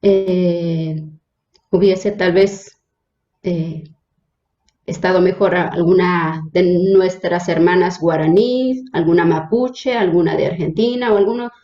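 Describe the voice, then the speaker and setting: Slow soft-spoken nun from mexico, first language nahuatl, roomy dull-sounding recording. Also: female voice, lowish voice, fairly even intonation. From Mexico, Hidalgo state. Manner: hesitant, frequent fillers and restarts. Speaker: religious sister, guest. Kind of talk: interview, personal introduction.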